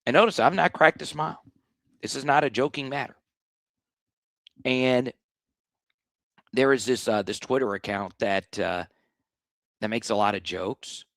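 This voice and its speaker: A man with a slightly hoarse voice hosting a live sports talk stream, blunt and animated, often restarting his sentences. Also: medium pitch, measured pace, pauses often, no room sound, clean studio sound. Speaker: sports show host.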